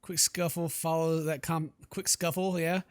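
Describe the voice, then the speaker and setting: A man hosting a gaming video, reading game text aloud and commenting in a sarcastic, animated way on a close mic. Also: on the high side, unhurried, no room sound. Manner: sarcastic, animated when incredulous.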